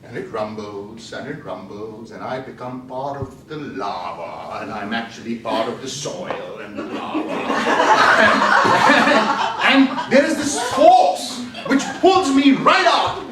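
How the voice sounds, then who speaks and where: A man giving a tall-tale speech at a speaking club contest, animated and laughing often. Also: medium pitch, slow, hardly any pauses. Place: meeting hall. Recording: echoey hall, far from mic, faint noise.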